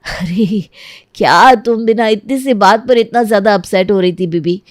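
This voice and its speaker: A woman's voice: mid-range voice, normal speed, background noise, natural voice. A woman voicing a doting, earnest young fiancé in a cartoon, a coddling mama's boy. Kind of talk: Hindi cartoon story acting.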